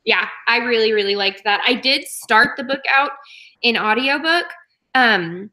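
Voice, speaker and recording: A woman from Oklahoma weighs in on a novel with a bright, clear voice pitched a little high. She states her views plainly and confidently, in an easy conversational way. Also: unhurried. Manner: frank, matter-of-fact opinions. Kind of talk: casual book discussion.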